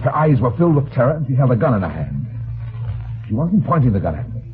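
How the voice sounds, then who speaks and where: An actor playing a tough, terse, sardonic heavyset private detective in an old-time radio drama. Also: male voice, mid-range voice, big pitch swings, fast talker, lightly acted. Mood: grim, watchful. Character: hard-boiled, suspicious heavyset male private detective. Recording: tinny band-limited old radio recording.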